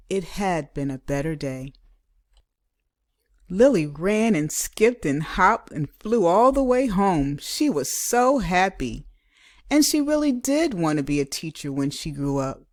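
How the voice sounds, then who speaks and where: A woman reading a children's picture book aloud like a teacher, with dramatic, emphatic delivery. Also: lowish voice. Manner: dramatic, emphatic delivery with acted character voices. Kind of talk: expressive children's storybook read-aloud.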